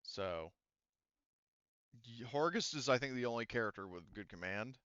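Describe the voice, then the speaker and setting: A man speaking casually and haltingly as a game master, often rewording himself as he explains and narrates a tabletop game. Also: medium pitch, expressive, a bit bright, smooth, no echo, dull audio. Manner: halting, self-correcting, informal with swearing.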